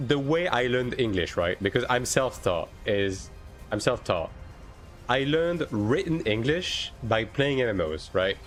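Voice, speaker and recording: French guy streaming games, english with a french accent, lively up and down voice, swears a lot. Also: medium pitch. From France. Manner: swears casually, reads chat aloud, restarts often. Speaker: game streamer. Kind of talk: casual live-stream chat.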